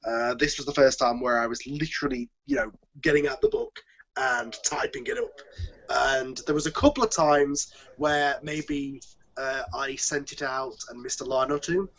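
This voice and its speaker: A man hosts a casual online group chat in an average-pitched voice. He rambles and hesitates as he goes. The sound is dull and lo-fi, like an internet call. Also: lively intonation, moderate pace, creaky, a bit bright. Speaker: host, audio drama producer.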